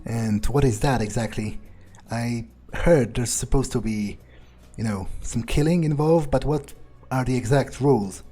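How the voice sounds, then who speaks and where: A man roleplaying a cynical, amused, bloodthirsty vampire in a video game, husky, musing and sardonic in an improvised way. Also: mid-range voice, measured pace, dark tone, soft background music. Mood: dismissive, impatient. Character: cynical, bloodthirsty, amused young male vampire, foul-mouthed.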